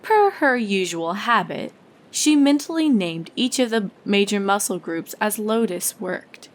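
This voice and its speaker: A woman narrates a written story in a mid-range voice. Her intonation is lively and varied, as a storyteller reading from a script. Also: medium pitch, a little slow. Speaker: narrator, fan fiction reader.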